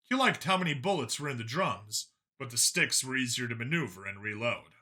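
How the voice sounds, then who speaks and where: A man's voice: medium pitch, animated, brisk, bright, forceful tone. A man reading scripted fiction aloud as an audiobook narrator.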